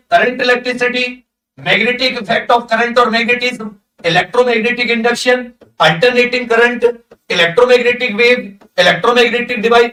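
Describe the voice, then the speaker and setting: A man speaks in a very high-pitched voice as a coaching teacher. He goes through a list item by item, dropping in English technical terms. The room is clearly echoey and he sounds some distance from the microphone. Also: bright, forceful tone. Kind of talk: lesson, reading through a syllabus list with brief comments.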